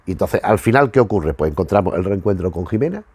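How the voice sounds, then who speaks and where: A man's voice: deep voice, lively intonation, fast, a little mellow, husky, dry recording. A man from giving an informal, digressive commentary from notes as host of a retro review show, often trailing off into vague tags.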